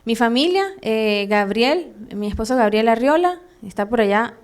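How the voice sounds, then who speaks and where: A Venezuelan woman with a Venezuelan accent teaching a church training class live, chatty and hesitant with many fillers and soft laughs. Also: a little high, lively intonation, normal speed. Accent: Venezuelan Spanish. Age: adult, has a son. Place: church meeting room.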